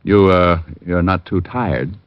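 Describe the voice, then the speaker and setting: An actor playing a shrewd, sardonic private detective with an American accent in a hard-boiled old radio drama. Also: male voice, sits low, slow pace, dry recording. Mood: mock friendly. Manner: sardonic, teasing. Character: wry, shrewd, needling male private detective. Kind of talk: hard-boiled first-person narration, 1940s-50s radio acting. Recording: muffled old radio recording with music.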